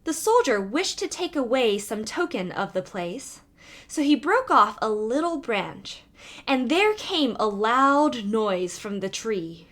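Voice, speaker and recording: Lady reading a fairy tale, takes her time, highish voice, really animated. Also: slow pace. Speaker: storybook narrator. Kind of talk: scripted fairy tale narration, read aloud.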